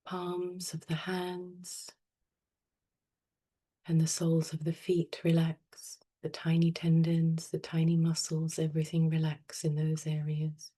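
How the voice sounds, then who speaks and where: A woman teaching meditation, speaking softly and soothingly with unhurried pauses. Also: deep voice. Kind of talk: guided meditation.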